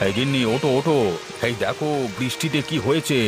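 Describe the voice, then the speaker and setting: A man voicing a gruff village husband who sells water in a moral-tale cartoon. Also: mid-range voice, fairly quick, music in the background, lightly acted. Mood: alarmed, worried.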